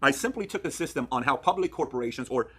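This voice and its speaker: A man's voice: mid-range voice, lively intonation, quick pace, fairly bright tone, breathy edge. A man in his thirties from Iran presenting a persuasive explainer, earnest and emphatic, posing questions and answering them himself. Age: 30s. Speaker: video presenter.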